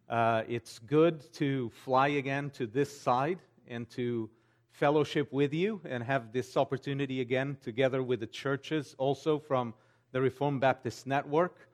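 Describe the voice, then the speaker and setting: A man in his forties from Portugal with a Portuguese accent, speaking as a pastor to a congregation in an earnest, measured way. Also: mid-range voice. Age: 40s. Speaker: pastor and seminary teacher. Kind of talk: church address to a congregation, giving updates and prayer requests.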